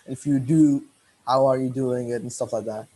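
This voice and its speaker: A man's voice: medium pitch, steady tone, normal speed, warm tone, low-quality recording. Rambling teenage boy interviewer. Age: teens. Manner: rambling, repeats and corrects himself. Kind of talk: casual online interview.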